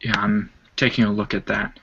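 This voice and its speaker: A man chatting on an online voice call, laying out his opinions in a measured way and at length. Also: fairly low pitch, little pitch movement, background noise. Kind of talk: casual online voice chat. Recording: lo-fi call audio.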